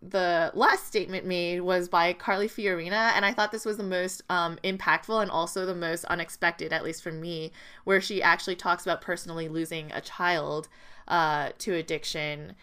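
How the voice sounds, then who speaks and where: A woman's voice: fairly low pitch, steady tone, normal speed, sharp tone, clear, resonant tone. A woman co-hosting a podcast, giving casual news commentary in a conversational way with plenty of "like". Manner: conversational, peppered with "like". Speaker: podcast co-host.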